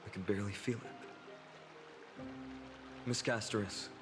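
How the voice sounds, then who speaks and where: A man playing a restless, earnest young fantasy hero in a video game, speaking softly, politely and reflectively. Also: fairly low pitch, steady tone, slow pace. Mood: pensive, restless. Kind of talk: video game character dialogue. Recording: hall reverb, clear music behind.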